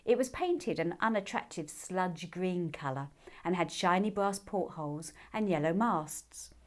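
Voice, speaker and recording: A woman reading her own children's book as an expressive storybook narrator. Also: fairly low pitch, measured pace.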